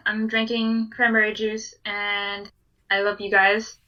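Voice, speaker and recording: A woman's voice: mid-range voice, completely flat delivery, unhurried, highs cut off, crowd noise. A woman introducing herself casually on a group online chat. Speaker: artist, reaction panelist. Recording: distant mic, faint music behind.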